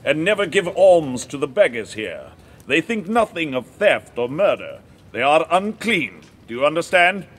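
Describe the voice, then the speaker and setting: An actor in a historical audio drama playing a stern, pious and ruthless Templar marshal who speaks with formal authority. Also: male voice, a little high, big pitch swings, moderate pace, fairly bright tone, husky, full character voice.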